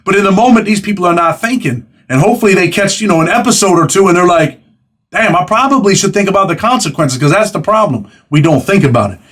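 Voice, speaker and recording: A man's voice: high-pitched, expressive, fairly bright tone. A man in his forties hosting a casual interview, talking in a conversational, streetwise way. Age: 40s. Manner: fast, conversational, streetwise slang. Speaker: host.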